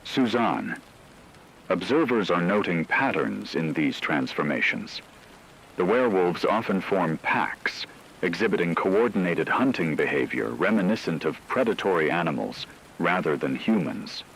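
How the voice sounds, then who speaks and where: A man playing a solemn radio news anchor, reading a formal, measured emergency broadcast in a scripted drama. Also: low-pitched, very animated, a bit bright, slight background noise, full character voice, rough recording. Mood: grave, urgent. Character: grave male radio news anchor reporting a werewolf apocalypse.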